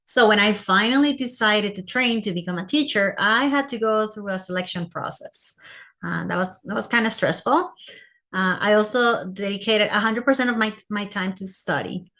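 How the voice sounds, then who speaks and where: A woman's voice: medium pitch, normal speed, sharp tone. A woman from Costa Rica with a Costa Rican Spanish accent in English, speaking as a teacher giving a personal presentation to fellow teachers.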